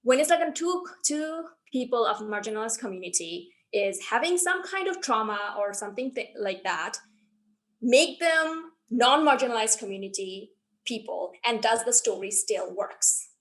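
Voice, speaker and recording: A woman's voice: on the high side, expressive, normal speed, a bit bright. A woman on a podcast panel, explaining her ideas eagerly. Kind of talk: casual discussion.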